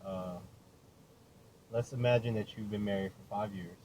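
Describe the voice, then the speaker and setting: A man's voice: fairly low pitch, fairly even intonation, normal speed, mellow, rough recording. A man casually interviewing people, informal, often repeating and rephrasing his questions, recorded in a car. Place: inside a moving car. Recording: clear vehicle noise.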